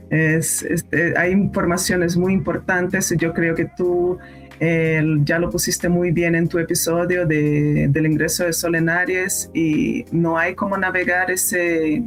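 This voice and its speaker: A woman whose first language is Portuguese, a guest on a podcast, speaking in halting phrases and searching for words. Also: fairly low pitch. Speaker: guest astrologer. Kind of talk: casual podcast conversation.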